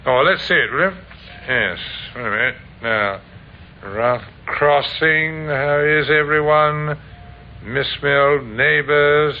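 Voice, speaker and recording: An actor playing a courteous, probing British police inspector who muses and hesitates, in an old-time radio crime drama. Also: male voice, fairly high pitch. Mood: pondering, then urgent. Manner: hesitant, musing, full of uhs and pauses. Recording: tinny, noisy old radio recording.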